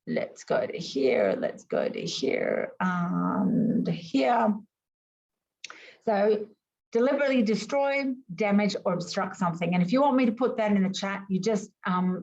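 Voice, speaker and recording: A woman whose first languages were Greek and Arabic, teaching an online class over a video call in a lively, emphatic way full of rhetorical questions. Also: lowish voice, unhurried, lo-fi sound.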